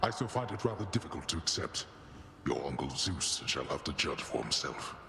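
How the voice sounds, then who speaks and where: An actor playing a solemn, brooding god of the underworld and stern father in a video game, speaking slowly and formally. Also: extremely low pitch, big pitch swings, gravelly, a bit bright, heavily acted. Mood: grave. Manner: slow, formal, grave delivery. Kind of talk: video game dramatic acting. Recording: echoey hall reverb with music behind.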